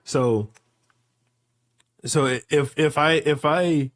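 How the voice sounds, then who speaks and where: A man's voice: a little high, takes it slowly, no echo. Young man, casual, emphatic and repetitive. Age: late 20s. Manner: emphatic, repeats phrases, profane slang. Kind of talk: casual chat, rambling hypotheticals.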